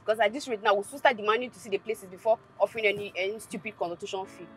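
A young woman with a Nigerian accent playing an outspoken, easily vexed flat-hunter who complains emphatically in a naturalistic film drama. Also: mid-range voice, rapid-fire, a little hiss or hum, close to an everyday voice, poor audio. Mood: frustrated.

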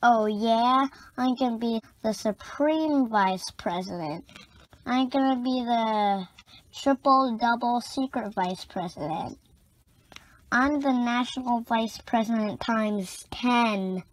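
A woman's voice: a little high, slow, rough recording. A woman voicing squabbling, competitive young creatures in a children's storybook, insistent and whiny.

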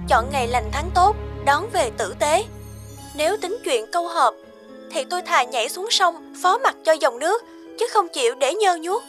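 A woman voicing a composed, proud young princess with a formal, measured manner in a cartoon folk tale. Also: extremely high pitch, a bit bright.

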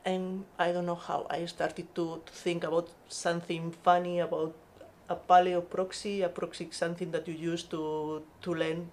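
A woman speaking as a podcast guest in casual interview style, telling stories haltingly and often repeating words. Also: lowish voice, level tone, a little mellow. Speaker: podcast guest, marine scientist.

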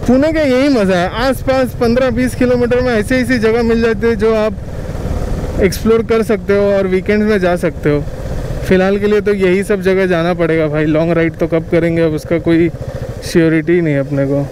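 A man's voice: high voice, fairly even intonation, normal speed, a little mellow, low-quality recording. A young man giving casual, chatty vlog commentary outdoors, talking to his viewers like friends. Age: young adult. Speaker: motovlogger. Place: outdoors, riding a motorbike and at a rocky riverside. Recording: clear road, wind and engine noise.

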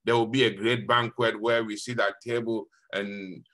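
Bright-voiced Ghanaian priest. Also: male voice, on the low side, level tone, moderate pace. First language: Fanti. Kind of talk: informal scripture reflection to a group. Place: indoor room, on a group video call. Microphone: video call.

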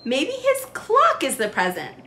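A woman's voice: sits high, huge pitch swings, unhurried, slight background noise, heavily acted. A woman voicing an eager, sweet seven-year-old girl in a children's storybook. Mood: curious. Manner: eager, bright-eyed, polite. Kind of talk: children's storybook read-aloud.

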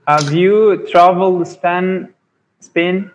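A young man from Afghanistan in his twenties, a university student, practising on a video call and halting as he searches for words. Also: sits high, steady tone, poor audio. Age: 20s. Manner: halting, searching for words, non-native phrasing. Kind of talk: casual video call chat. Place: indoor room. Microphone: earphone headset on a video call.

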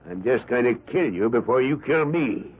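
A man playing a suspicious, cold-blooded gunman in a 1970s radio drama, with a husky voice. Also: mid-range voice, expressive, moderate pace. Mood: cold menace. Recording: narrow, muffled old radio recording.

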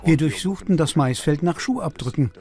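Documentary dub: man playing a detective with a fairly high-pitched voice, recalling a case. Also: fairly quick, dark tone, soft background music, close to an everyday voice. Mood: matter-of-fact. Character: male American investigator recalling a search. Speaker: investigator in documentary. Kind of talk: dubbed documentary interview.

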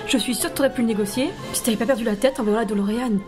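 A woman's voice: a little high, racing, music in the background, natural voice. A woman playing a teasing, impatient young girlfriend in an audio drama.

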